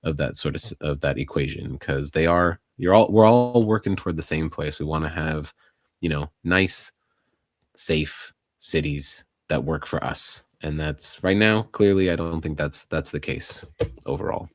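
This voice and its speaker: A man's voice: extremely low pitch, moderate pace, no echo, studio quality. A man co-hosting a podcast, giving earnest, discursive commentary on civic matters in a conversational way. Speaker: podcast co-host.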